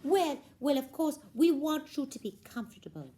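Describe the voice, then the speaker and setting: A woman's voice: high-pitched, expressive, moderate pace, mellow, voice pushed up. Woman putting on a bossy, fussy, swooping queen mother. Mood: sweetly fussy. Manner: regal fussing, emphatic, self-assured. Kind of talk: puppet show for children.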